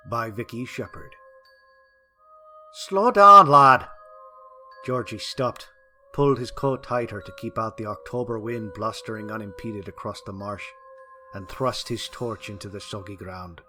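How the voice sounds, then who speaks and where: A man's voice: lowish voice, fairly even intonation, moderate pace, fairly bright tone, soft background music. A man reading a scripted historical ghost story as a dramatic narrator.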